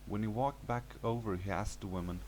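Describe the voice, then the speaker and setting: A man's voice: on the low side, steady tone, brisk, darkish tone, a little hiss or hum, rough recording. A man reading a scripted first-person horror story as its narrator.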